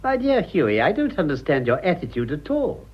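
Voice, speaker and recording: Dry posh older english gentleman, mock-polite, really swoopy voice, muffled radio drama. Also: a little high, wildly swooping pitch, a little fast, slightly rough, full character voice. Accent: upper-class English. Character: suave, teasing, ironic older English gentleman. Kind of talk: stage comedy radio acting.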